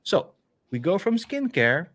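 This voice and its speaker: A man with a Dutch accent casually walking through a software demo on a live stream, chatty and full of fillers and restarts, heard over a video call. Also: high voice, very animated, unhurried, dry recording. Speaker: developer advocate co-hosting a stream. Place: home office, video call.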